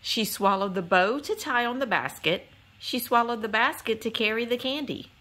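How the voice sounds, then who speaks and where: A woman host reading a children's picture book aloud in an expressive, sing-song, rhythmic storytelling style. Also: fairly high pitch, normal speed, slight background noise. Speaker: read-aloud channel host.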